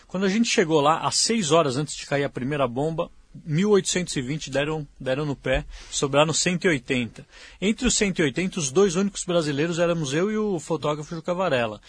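A Brazilian journalist answers interview questions in a plain, matter-of-fact way. His voice is husky and sits a bit high, recorded close and dry with no room sound. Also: fairly quick, dry recording. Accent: Brazilian Portuguese. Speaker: journalist guest.

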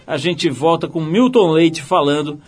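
A man's voice: a little high, lively intonation, unhurried, faint music behind. A man hosting a casual radio interview, joking and laughing as he asks questions. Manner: jokey, laughs while asking. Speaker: radio show host.

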